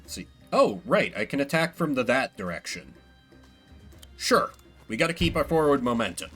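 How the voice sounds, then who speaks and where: A man's voice: mid-range voice, sing-song, moderate pace, fairly bright tone, slightly rough, faint music behind. A man giving casual, wry solo commentary as he streams a video game.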